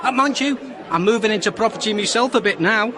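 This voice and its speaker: An actor playing a chatty, excitable small-time chancer in a radio drama, with a Liverpool accent and a rambling, matey manner. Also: male voice, high voice, quick pace, close to an everyday voice. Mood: chatty, scheming. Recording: busy outdoor crowd and traffic behind. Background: street crowd, vehicles.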